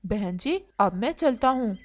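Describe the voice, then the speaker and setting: A woman's voice: medium pitch, animated, measured pace, pitched below the performer's own voice, lightly acted. A woman voicing a concerned, helpful male pigeon in a cartoon story, speaking in an earnest, plain way. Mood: polite. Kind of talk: Hindi cartoon story acting.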